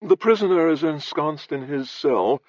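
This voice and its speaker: A man's voice: mid-range voice, huge pitch swings, measured pace, creaky, fairly bright tone, no room sound, voice pushed up, exaggerated voice. A man voicing a haughty, contemptuous male lord with a grating, drawling, blasé voice. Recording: dry, slightly dull audio.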